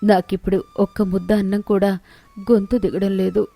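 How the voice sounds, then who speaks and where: A woman's voice: mid-range voice, level tone, moderate pace, gravelly, mellow, soft background music. A woman voicing a sorrowful old widowed village mother in a moral tale, lamenting plaintively to her son. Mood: sorrowful, hurt.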